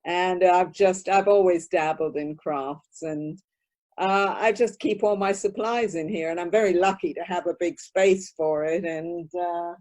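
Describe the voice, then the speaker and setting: A woman's voice: on the low side, fairly even intonation, a little slow, a little mellow. An older woman from northern England who grew up in California, chatting casually as an interview guest from her craft room at home. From born in northern England, raised in California. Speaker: guest, volunteer craft maker. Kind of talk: casual interview chat, recounting. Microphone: video call.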